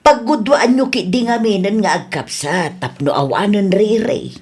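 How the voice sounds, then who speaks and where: A woman's voice: mid-range voice, lively intonation, a little slow, a little mellow, lightly acted. A woman with an Ilocano accent playing a chatty, advice-giving village wife in a radio comedy drama. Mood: concerned, advising.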